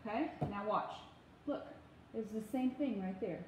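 A woman's voice: medium pitch, animated, unhurried, highs cut off. A woman in her thirties talking casually through instructions in a patient but firm, step-by-step way. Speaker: parent giving a cooking demonstration. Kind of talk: casual instructional talk to camera and child. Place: home kitchen, indoors. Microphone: phone propped on counter, about arm's length. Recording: very echoey room, faint noise.

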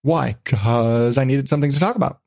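A man's voice: mid-range voice, fairly quick, darkish tone, no echo, clean studio sound. A man hosting a podcast in casual chat, blunt, self-deprecating and swearing freely. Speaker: podcast host.

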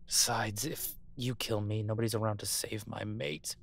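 A man's voice: on the low side, steady tone, normal speed, creaky, fairly bright tone. A man playing a captive werewolf alpha soldier in an audio roleplay, defiant, protective and sardonic as he urgently argues and swears. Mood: firm persuasion. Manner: profane, sardonic, urgent persuading.

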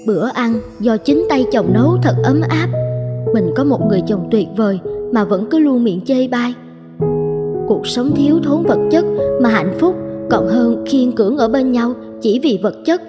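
A woman playing an earnest, easily swayed young wife in an animated drama. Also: a little high, normal speed, in a large hall, natural voice. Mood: concerned, reflective. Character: young married woman, materialistic but softening, easily swayed. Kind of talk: Vietnamese animated drama dubbing. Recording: music playing behind the voice.

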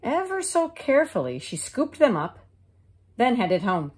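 Expressive woman reading a children's storybook. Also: a little high, husky. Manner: measured, expressive. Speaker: teacher reading aloud. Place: living room couch, indoors.

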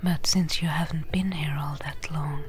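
A woman playing a friendly, seasoned desert trader in a soft, breathy, gentle whispered ASMR roleplay. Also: sits low, steady tone, close to an everyday voice. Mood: calm, friendly. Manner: slow, gentle, unhurried.

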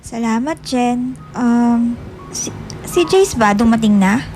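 A woman acting an obsessive, needy young woman in a Filipino radio drama, pleading and halting in manner. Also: fairly high pitch, darkish tone, faint music behind. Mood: hesitant. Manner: pleading, halting when evasive.

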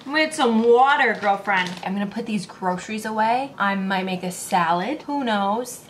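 A woman's voice: mid-range voice, lively intonation, moderate pace, a little hiss or hum. A woman in her twenties chatting casually to a vlog camera at home, informal, slangy and enthusiastic. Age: 20s. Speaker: vlogger. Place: indoors at home, kitchen, bedroom and living room.

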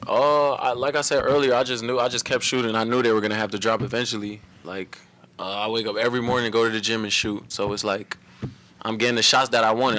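A young man, a college basketball player, answering reporters after a game in a relaxed, run-on way at a table mic. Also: lowish voice. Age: young adult. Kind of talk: post-game press conference. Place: press room at a table before a logo backdrop.

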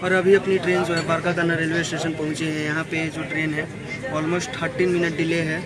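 A man's voice: fairly high pitch, little pitch movement, brisk, over a noisy crowd. A young man vlogging a train journey in a chatty, explaining way, talking into a phone at arm's length on the train and the platforms. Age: young adult. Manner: chatty, explaining, repeats fillers. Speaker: travel vlogger. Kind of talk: casual travel vlog narration. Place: on a moving train and station platforms. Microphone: phone held at arm's length, wireless earbuds. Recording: loud train and platform noise.

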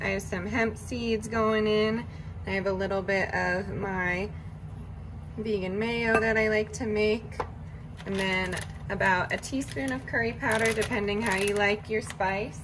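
A woman chatting casually as she walks through her meal prep in a home kitchen, relaxed and full of fillers. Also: mid-range voice, a little slow, background noise. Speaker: vlogger cooking meal prep. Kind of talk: casual cooking narration.

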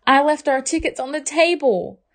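A woman voicing a devoted storybook mother who reassures and frets, in emphatic, measured phrases. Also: high-pitched, lively intonation, voice pushed up. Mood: dejected.

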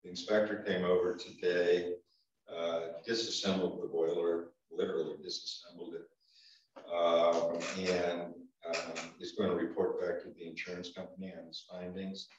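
A man's voice: low-pitched, little pitch movement, moderate pace, slight background noise. An older man with a Vermont accent chairing a small-town board meeting, hesitant with many ums and restarts. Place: town office meeting room. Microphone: far room camera. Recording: echoey room from far off, dull sound.

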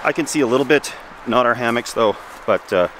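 A man's voice: medium pitch, fairly quick. A man in his forties vlogging casually outdoors in a damp forest, chatty and self-deprecating as he thinks aloud. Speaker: camping vlogger. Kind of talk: casual outdoor vlog narration. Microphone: camera mic, handheld close and filmed from a distance. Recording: outdoor recording with clear background noise.

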